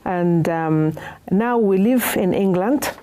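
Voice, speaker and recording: A woman's voice: mid-range voice, slow pace, dark tone. A middle-aged woman with a Sudanese accent, introducing herself hesitantly as an interview guest. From Nuba Mountains, Sudan.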